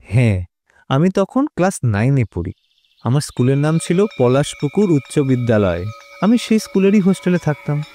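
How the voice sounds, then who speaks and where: An actor playing a kindly retired grandfather who tells stories of his past in an indulgent, unhurried manner for an animated series. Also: on the high side, slight background noise. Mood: fond, indulgent. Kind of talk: animated audio story narration. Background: background music.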